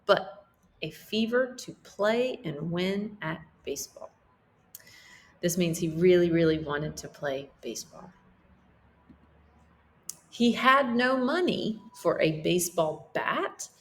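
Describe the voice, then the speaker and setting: A woman teacher reading a storybook aloud to pupils, pausing to explain things simply for children. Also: mid-range voice. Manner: explains simply, checking in with listeners.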